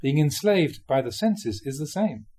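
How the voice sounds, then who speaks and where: A man reading Buddhist teachings aloud like a monk giving a dharma talk, with measured, emphatic phrasing. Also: fairly high pitch, sing-song, warm tone.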